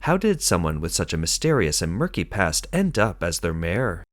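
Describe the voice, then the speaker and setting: Male narrator, low and animated, dry studio read for a news explainer. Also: normal speed, dry recording. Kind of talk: scripted documentary narration.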